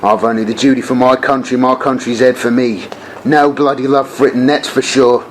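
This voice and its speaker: A man's voice: medium pitch, steady tone, brisk, a little hiss or hum, lightly acted, poor audio. An actor playing a bitter, blunt young Australian man with slangy speech in a radio play. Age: young adult.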